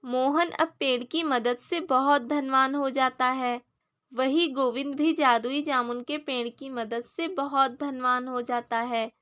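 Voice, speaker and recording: A woman with a clearly high voice reads a scripted children's tale. She is the storyteller, presenting a moral story as narration. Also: steady tone, takes it slowly, a bit bright.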